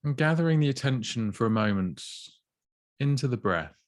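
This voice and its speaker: A man gently guiding a body scan meditation, slow and soothing with long pauses. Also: mid-range voice, expressive, dry recording, clean studio sound. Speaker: mindfulness teacher.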